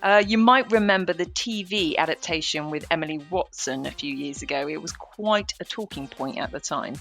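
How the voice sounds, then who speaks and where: A woman's voice: low-pitched, normal speed, gravelly, a bit bright, soft background music. A British woman co-hosting a podcast in a chatty but scripted presenting style. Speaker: podcast co-host.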